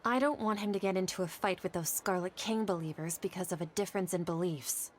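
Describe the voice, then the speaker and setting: A woman's voice: lowish voice, a little fast, a little hiss or hum, close to an everyday voice. A woman playing an earnest, anxious young female scholar in an anime video game, easily flustered. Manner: earnest, flustered, stammering under pressure.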